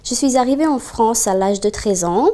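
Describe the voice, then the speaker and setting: A young woman in her twenties, originally from Bosnia, speaks in a documentary interview. Her voice is mellow and soft-edged. She talks earnestly, pausing often and filling the gaps with small hesitations. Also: fairly high pitch, animated. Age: 20s. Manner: earnest, with frequent pauses and fillers. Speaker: student intern.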